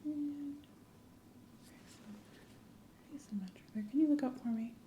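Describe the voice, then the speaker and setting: A soft-spoken woman in an ASMR medical roleplay, gently and calmly playing an examiner, often dropping into a whisper. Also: sits high. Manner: gentle, reassuring, unhurried clinical narration. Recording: roomy echo, faint ambient noise.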